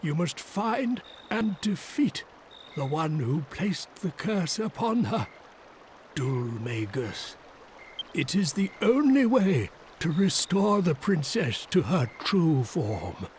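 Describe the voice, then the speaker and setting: An actor playing a grave, courteous old sage in a video game, speaking in solemn, formal phrases. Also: male voice, on the high side, big pitch swings, slow pace, a little mellow, slight background noise. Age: older. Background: game music.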